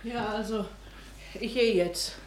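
A woman's voice: a little high, slow. A woman playing a determined, plain-spoken housekeeper in a radio play. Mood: determined, uneasy. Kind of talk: German radio play acting. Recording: echoey room, noisy ambience.

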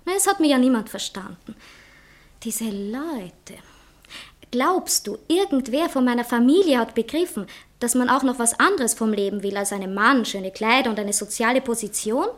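Young divorced poetess, high voice, theatrical, self-important, earnest, comedy drama. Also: female voice. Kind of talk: stage comedy acting in a radio play manner.

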